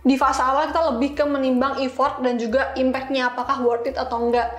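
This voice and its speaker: A woman's voice: high-pitched, flat delivery, a little fast. A young woman with an Indonesian accent telling stories casually as an interview guest, informal, with English terms mixed in. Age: young adult. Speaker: interview guest, software engineer. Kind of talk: casual conversational storytelling. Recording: echoey room.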